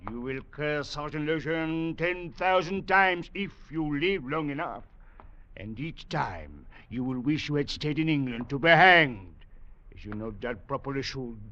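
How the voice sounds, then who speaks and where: A dull-sounding 1940s radio recording of a menacing sergeant. He drags out his sneers and barks threats in a hard, forceful voice. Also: medium pitch. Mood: mocking menace. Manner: mocking, threatening, barks orders. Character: brutal, sneering Foreign Legion sergeant, sadistic and menacing.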